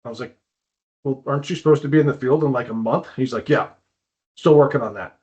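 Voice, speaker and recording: A man's voice: medium pitch, quick pace, a little mellow. A man talking casually as a consultant and guest, explaining things in a conversational way. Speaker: climbing wall consultant, guest. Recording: dull, lo-fi audio.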